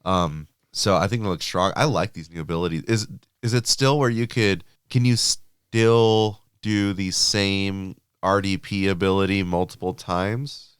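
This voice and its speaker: Deep, smooth-voiced sniffly man chatting. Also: fairly even intonation, measured pace, no room sound, clean studio sound. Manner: chatty, filler-heavy, laughs easily. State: sniffly from allergies. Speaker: podcast co-host. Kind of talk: casual chat about tabletop wargaming.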